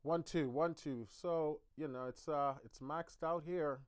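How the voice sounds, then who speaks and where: A man teaching piano on a live stream, chatting casually with viewers and working through his setup out loud. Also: a little high, steady tone, measured pace, smooth. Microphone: streaming mic.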